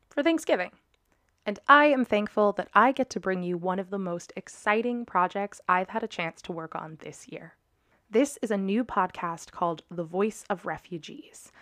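A woman's voice: mid-range voice, a bit bright. A woman hosting a podcast interview in a small studio, speaking in an upbeat, enthusiastic way. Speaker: podcast host.

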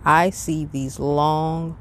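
A woman's voice: deep voice, flat delivery, drawn-out words, a little hiss or hum. A middle-aged woman hosting a podcast alone, talking off the cuff in a candid, rambling way and giving emphatic advice. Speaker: podcast host. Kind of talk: casual unscripted solo podcast monologue.

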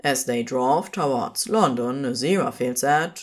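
A woman's voice: very deep voice, unhurried. A woman narrating scripted fiction as the storyteller of an audio story.

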